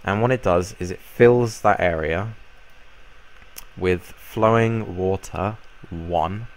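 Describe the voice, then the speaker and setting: A young man with a croaky voice from a cold, casually explaining a game build in a rambling way. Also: low-pitched, takes it slowly, a little hiss or hum, rough recording. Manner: relaxed, rambling explanation with fillers. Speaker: Minecraft YouTuber. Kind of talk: casual gameplay tutorial.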